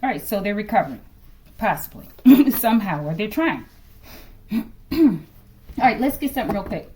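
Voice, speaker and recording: Tarot reader, female, casual musing delivery, animated pitch, faint hiss behind. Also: moderate pace. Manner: musing, repeatedly addresses listeners as collective, trails off low at line ends. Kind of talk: casual tarot reading to a general audience.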